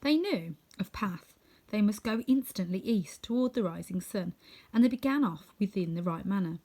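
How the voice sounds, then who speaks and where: A woman narrating a children's storybook in an expressive, scripted reading. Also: mid-range voice, moderate pace. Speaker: storybook reader.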